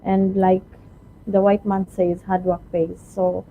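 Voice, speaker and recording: Flat-voiced woman on a tinny line. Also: mid-range voice, slow. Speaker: guest. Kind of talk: casual interview answers. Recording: tinny phone-like remote call.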